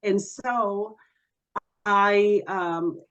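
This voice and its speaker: A woman's voice: medium pitch, very slow, fairly bright tone. A woman in her sixties from Greece, a guest hypnotherapist chatting and telling her story in a podcast interview. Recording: muffled lo-fi remote audio.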